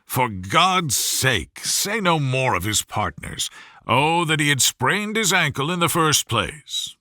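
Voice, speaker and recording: A man's voice: on the high side, sing-song, slow, sharp tone, dry recording, studio quality, heavily acted. A man voicing an impatient middle-aged husband in a storybook reading, testy and emphatic.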